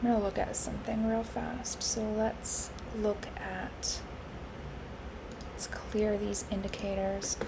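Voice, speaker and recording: A woman live-streaming her futures trading, congested and hoarse from allergies, thinking aloud with lots of fillers and restarts. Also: mid-range voice, level tone, slow, background noise. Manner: musing, with frequent fillers and restarts.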